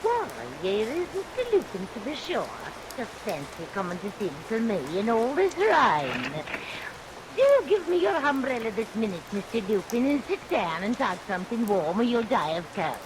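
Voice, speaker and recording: An actress playing a comfortable, coquettish widow who runs a pie shop, fussing and gushing in vintage radio melodrama. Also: female voice, on the high side, very animated, normal speed. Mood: gushing, coy. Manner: gushing, solicitous. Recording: hissy, noisy vintage radio recording.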